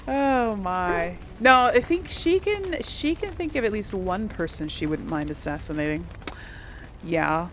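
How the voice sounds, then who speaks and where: A woman's voice: mid-range voice, expressive, slow, pauses often, slight background noise, rough recording. A woman hosting a casual game role play, musing and thinking aloud. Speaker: gamer, series host.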